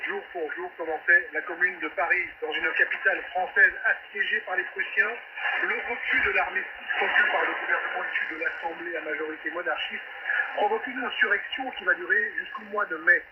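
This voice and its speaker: High-voiced male radio host through shortwave noise. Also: a little fast. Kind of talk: radio news debate presenting. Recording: noisy muffled shortwave radio reception. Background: loud radio static and hiss.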